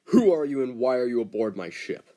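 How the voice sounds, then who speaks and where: A man's voice: mid-range voice, big pitch swings, fairly quick, dark tone, natural voice. A man playing a stern ship captain. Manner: firm, interrogating. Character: stern male ship captain, commanding and wary. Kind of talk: amateur audio drama.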